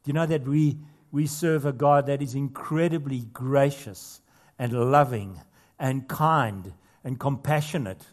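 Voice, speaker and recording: A man's voice: mid-range voice, a little slow. A man preaching a sermon as a pastor, earnest, building to emphatic points with casual asides in between. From not Australia.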